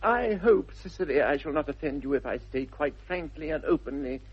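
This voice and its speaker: A man playing a charming, flippant young upper-class English gentleman with an airy theatrical manner in a radio comedy. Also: fairly high pitch, expressive, brisk, slightly rough. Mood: romantic. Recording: dull 1960s radio recording.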